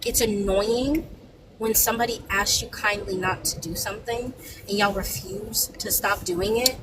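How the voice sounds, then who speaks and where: A woman panelist with a soft voice, speaking firmly and emphatically in a heated debate. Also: medium pitch, moderate pace, very noisy. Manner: firm, lecturing, emphatic.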